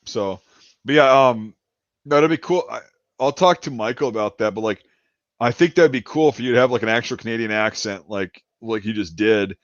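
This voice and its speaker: Podcast host: a rambling, swearing man, mid-pitched, recorded dry and lo-fi. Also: normal speed, no room sound. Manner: rambling, swears freely, lots of fillers and restarts. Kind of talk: casual chat. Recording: dry, dull lo-fi sound.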